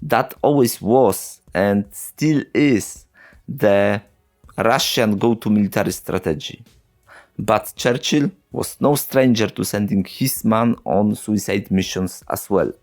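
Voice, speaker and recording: Slow, wry male history narrator. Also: lowish voice, darkish tone. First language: not English. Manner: slow, wry, sarcastic, with short chuckles. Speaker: history channel host. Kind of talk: narrated history video with dry asides.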